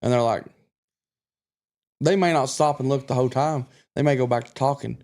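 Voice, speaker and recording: A man's voice: medium pitch, fairly even intonation, moderate pace, darkish tone. A self-assured man from Kentucky talks as a guest on a casual podcast. His voice sits in a middle range, and he rambles on with plenty of restarts. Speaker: guest country singer.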